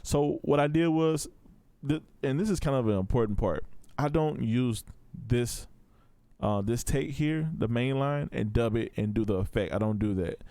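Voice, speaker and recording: A man's voice: medium pitch, normal speed, mellow, dry recording, studio quality. A man giving a casual, step-by-step tutorial in a laid-back, informal way. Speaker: audio production tutor.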